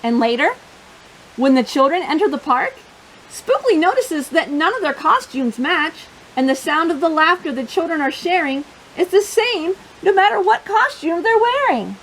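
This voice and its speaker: Female children's storytime host. High voice with big melodic swings, expressive delivery pitched at little kids. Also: normal speed, slight background noise, low-quality recording. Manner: expressive, simple phrasing addressed to young children. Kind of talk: children's storybook reading and craft chat.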